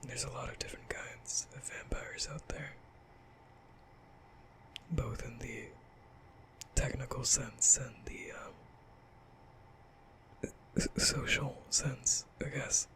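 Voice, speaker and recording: A man playing a nervous, caring young vampire in an ASMR roleplay, speaking softly and hesitantly with stammers in a breathy whisper. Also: mid-range voice, steady tone, takes it slowly, pauses often, low-quality recording. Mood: sheepish, confiding. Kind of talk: ASMR roleplay, soft comforting boyfriend audio.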